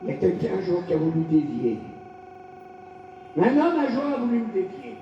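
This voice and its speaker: A male pastor from France delivering a fiery revival sermon, shouting in bursts and calling for responses from the congregation. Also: high-pitched, sing-song, normal speed, a little hiss or hum. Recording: echoey hall, distant lo-fi mic.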